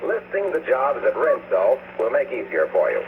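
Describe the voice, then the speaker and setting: A man announcing and pitching an advertisement in 1940s radio style, on a noisy old broadcast. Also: sing-song, normal speed, phone-quality sound.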